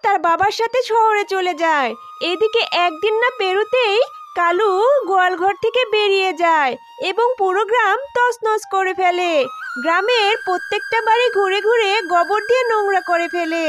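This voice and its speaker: A woman's voice: very high-pitched, normal speed, a bit bright, slight background noise. A woman narrating a scripted children's cartoon story as its storyteller.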